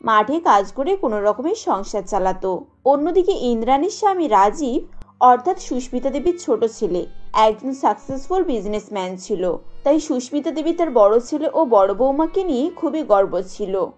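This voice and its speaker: A woman's voice: on the high side, animated, normal speed, darkish tone, soft background music. A woman reading scripted narration for a cartoon family story.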